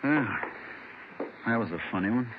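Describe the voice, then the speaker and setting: An actor playing a steady, matter-of-fact police detective partner in an old radio crime drama. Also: male voice, medium pitch, moderate pace, natural voice. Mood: calm. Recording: tinny, noisy old radio recording.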